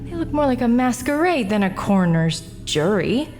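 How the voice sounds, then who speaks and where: A woman's voice: on the high side, very animated, moderate pace, a little mellow, soft background music. An actress playing a brash, defensive young woman questioned at an inquest, talking in breezy slang in a radio drama. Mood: defiant.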